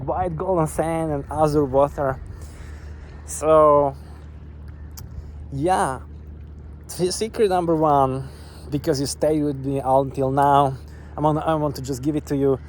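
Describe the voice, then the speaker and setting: Eager man, slightly high voice. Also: a little mellow, slight background noise. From Slovakia. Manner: eager, salesy, many fillers and restarts. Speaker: online business vlogger. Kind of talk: upbeat to-camera vlog pitch. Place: outdoors on a tropical beach.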